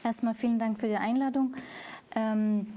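Hesitant, soft-spoken Kurdish-born woman. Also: fairly high pitch, steady tone, moderate pace. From Syria. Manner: hesitant, restarts often, many fillers. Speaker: guest, author. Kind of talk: interview answers.

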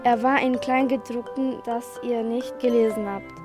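A woman's voice: a little high, steady tone, moderate pace, natural voice. A woman playing a smug, gloating famous author who declaims in repeated phrases in a radio play. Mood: smug, insistent. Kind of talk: school radio play acting. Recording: music bed behind the voice.

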